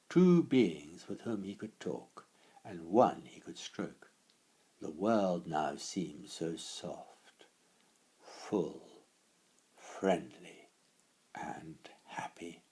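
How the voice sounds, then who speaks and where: Low, husky male storyteller. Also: expressive, mellow. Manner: deliberate, dramatic pauses. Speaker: author reading own story. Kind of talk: expressive storybook narration.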